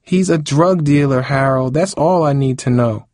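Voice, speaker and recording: Man voicing a hurt, indignant teenage girl, pitched up. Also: lively intonation, unhurried, mellow, dry recording, clean studio sound. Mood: angry. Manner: pleading, reproachful. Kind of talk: audiobook character dialogue.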